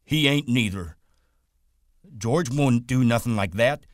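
A man voicing a big, simple-minded grown man who pleads and whines like a child, in rough country grammar. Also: unhurried, darkish tone, dry recording, studio quality, lightly acted. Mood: frantic. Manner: high, whining and frantic, rough country grammar.

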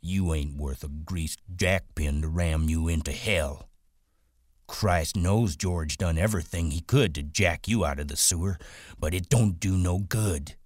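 A man voicing a slow, scornful giant rabbit. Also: deep voice, a little mellow, no room sound, studio quality. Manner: slow, drawling and contemptuous.

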